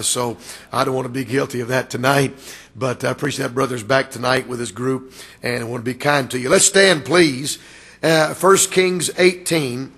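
A man preaching a revival sermon with a raspy voice, building to loud, emphatic, drawn-out delivery. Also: fairly high pitch. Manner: rises to loud emphatic preaching, stretched words. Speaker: guest preacher.

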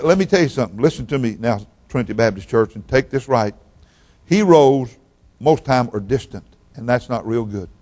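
A man's voice: mid-range voice, a little mellow. An older man preaching as a visiting pastor, folksy and rambling, often repeating his words. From Oklahoma, raised in Texas. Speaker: guest pastor. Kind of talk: sermon.

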